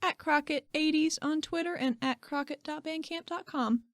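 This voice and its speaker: A woman's voice: high-pitched, normal speed, dry recording, clean studio sound. A woman co-hosting a podcast as a player, chatting and improvising roleplay casually.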